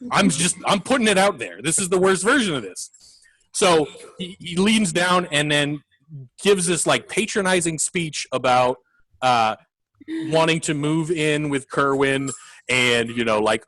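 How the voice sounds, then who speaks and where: A man's voice: a little high, a bit bright, poor audio. A man co-hosting a film podcast, talking casually and rambling with many fillers. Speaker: podcast co-host. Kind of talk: casual film review chat.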